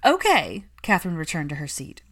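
A woman reading fan fiction as an audiobook narrator, in expressive, measured scripted storytelling. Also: fairly low pitch.

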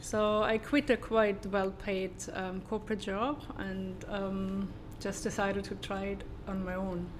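A woman with a German accent speaks as an interview guest in a flat, even tone with a little background noise. She talks hesitantly, filling pauses and restarting her sentences. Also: mid-range voice. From southern Germany. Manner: hesitant, many fillers and restarts. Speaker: guest, sustainability consultant. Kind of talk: casual interview.

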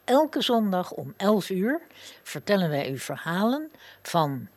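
Slow-paced, expressive woman radio host. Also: mid-range voice, no echo. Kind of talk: scripted radio introduction.

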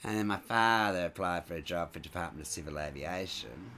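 A man who grew up in Sydney, an entertainer telling stories in an interview in a lively, expressive way, with frequent asides. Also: low-pitched, fairly quick, a little hiss or hum. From Scotland, raised in Sydney. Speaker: stage entertainer and show producer. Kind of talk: chatty interview storytelling.

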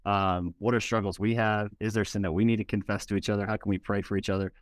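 A man's voice: on the low side, flat delivery, fast talker, dry recording, studio quality. A male guest pastor explaining plainly and practically in a casual podcast conversation.

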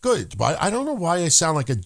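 Animated, foul-mouthed man co-hosting a podcast. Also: on the high side, a little fast. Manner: animated, swears freely, slips into joking broken-English voices. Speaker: wrestling podcast co-host. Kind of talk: casual profane chat.

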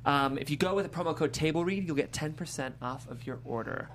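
A man's voice: fairly high pitch, quick pace, slight background noise. A man hosting a panel show in a casual, upbeat, informal way, with frequent filler "like". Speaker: host of a table-read vodcast.

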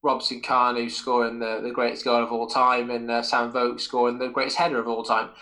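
A man's voice: medium pitch, level tone, brisk, pushed and bright. A man hosting a football podcast, talking casually and enthusiastically through detailed football memories in a rambling way. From Wales. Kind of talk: casual sports chat.

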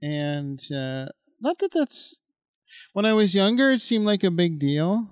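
A man's voice: sits high, big pitch swings, unhurried, clean tone, no echo, clean studio sound. A man in his fifties co-hosting a casual podcast chat, talking in a loose, rambling way with lots of fillers and asides. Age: 50s. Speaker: podcast co-host.

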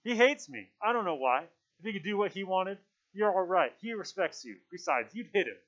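A squeaky, anxious boy voiced by a male narrator in a storybook, high and very animated in pitch. He is sensible but indignant, protesting and pleading in rough grammar. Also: brisk, dull audio. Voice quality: trilling, squeaky when upset. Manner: ungrammatical, protesting speech.

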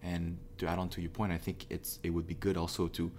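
A man's voice: deep voice, little pitch movement, fairly quick, darkish tone, slight background noise. A man in casual discussion, talking in a thoughtful, hesitant way with frequent restarts. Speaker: conversation partner.

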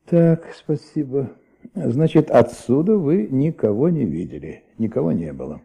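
Radio-play detective: calm, methodical, polite questioner with a husky, creaky low voice. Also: male voice, full character voice. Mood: probing. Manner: measured, probing, polite. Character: calm, methodical male police investigator questioning a young witness. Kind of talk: Soviet radio play acting.

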